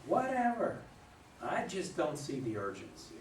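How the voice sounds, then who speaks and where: Man acting scoffing husband, lively high-ish voice. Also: unhurried. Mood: dismissive. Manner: mocking, belittling. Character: dismissive, sarcastic husband scoffing at his wife. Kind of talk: staged play reading. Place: room at a live readers' theater reading. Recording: echoey room, distant mic, faint noise.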